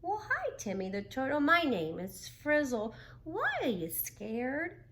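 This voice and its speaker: A woman voicing Frizzle, a curious, excitable young male creature in a children's storybook. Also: high-pitched, a little slow, pitched above the performer's own voice, heavily acted. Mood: gentle, caring.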